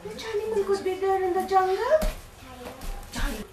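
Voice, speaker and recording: A middle-aged woman telling a story to children in a large hall, animated and asking them questions. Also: very high voice, moderate pace, noisy background.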